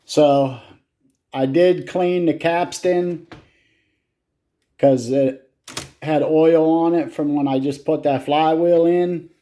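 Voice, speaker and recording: A man giving casual hands-on repair commentary, muttering to himself in short, halting phrases with some casual swearing. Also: on the high side, steady tone, warm tone. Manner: casually profane. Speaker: hobbyist repairer filming own repair. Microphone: handheld camera.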